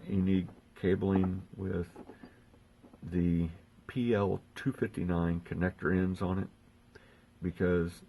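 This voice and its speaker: A soft-spoken man with a gravelly, husky voice, giving a casual unscripted how-to explanation in a halting, hesitant way with long pauses. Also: low-pitched. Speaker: host of a how-to radio and prepping channel.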